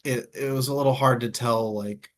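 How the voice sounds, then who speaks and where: A man talks through his thoughts as co-host of a relaxed book chat. His voice sits at a middle pitch, and he often feels his way haltingly toward each point. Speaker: co-host of a book discussion show. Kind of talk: casual book discussion.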